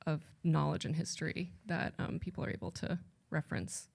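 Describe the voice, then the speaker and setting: A woman's voice: deep voice, lively intonation, fairly quick, gravelly, darkish tone. A woman translator speaking on a panel at a library event, thoughtful and rambling, often correcting herself mid-sentence. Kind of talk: casual panel talk.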